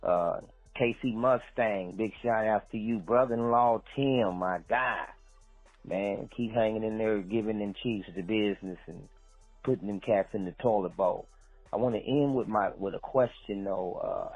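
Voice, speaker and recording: A man's voice: lowish voice, darkish tone, breathy edge, dry recording. A man giving his casual opinions as a sports fan in a voicemail, repeating phrases for emphasis. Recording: muffled voicemail, music behind.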